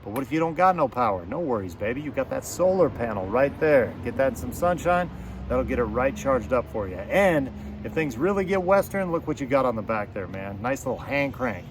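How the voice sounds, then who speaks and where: A man's voice: medium pitch, expressive, fairly quick, slight background noise. A man giving a casual product review to camera as host, with a folksy, slangy way of talking and playful catchphrases.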